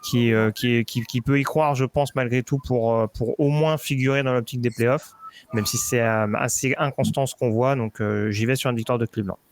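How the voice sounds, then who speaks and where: A man's voice: mid-range voice, steady tone, normal speed. A man giving casual football predictions on a podcast, hesitant, with many fillers and restarts. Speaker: football analyst.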